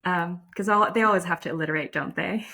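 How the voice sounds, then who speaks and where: Low-voiced woman in an interview. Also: fast talker, gravelly, pushed and bright, breathy edge. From Netherlands. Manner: thoughtful, self-deprecating, frequent restarts and fillers. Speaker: guest, lacrosse umpire. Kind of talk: casual interview conversation.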